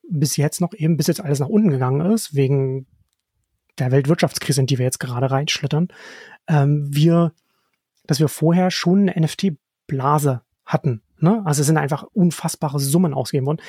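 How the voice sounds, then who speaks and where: Husky-voiced man, podcast guest. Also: a little high, warm tone, no room sound, studio quality. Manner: thinks aloud with restarts and tag questions. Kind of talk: casual podcast conversation.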